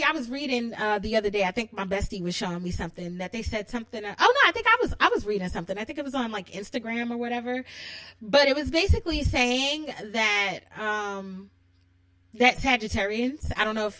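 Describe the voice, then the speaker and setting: A bubbly woman with a New York accent and a childlike, high-pitched voice, talking casually on her own and giggling often. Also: a bit bright, no echo, poor audio. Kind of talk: casual solo monologue.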